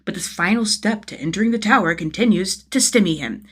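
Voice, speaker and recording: A woman reading a story aloud as an expressive scripted narration, with a slightly husky voice. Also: mid-range voice, fairly quick. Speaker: audiobook-style narrator of fan fiction.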